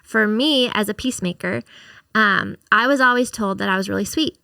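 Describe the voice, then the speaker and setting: Gentle woman podcaster, kinda gravelly, dry close mic. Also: medium pitch, moderate pace, dry recording. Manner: gentle, reflective, speaks of women's experience. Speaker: podcast co-host and author. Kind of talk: casual faith-based podcast conversation.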